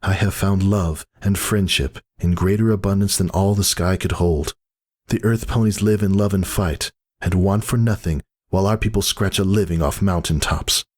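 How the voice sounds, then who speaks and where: A man's voice: deep voice, steady tone, moderate pace, a little mellow, voice pushed up. A man voicing a weary but defiant pegasus mother, speaking earnestly in a dramatic story reading. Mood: earnest, proud. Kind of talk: dramatic fan fiction reading.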